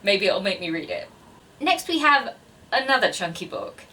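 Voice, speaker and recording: A woman's voice: mid-range voice, sing-song, normal speed, pushed and bright, recorded from a distance, a little hiss or hum. A woman in her twenties chatting casually to camera as a book vlogger, with a self-deprecating, chatty manner. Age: 20s.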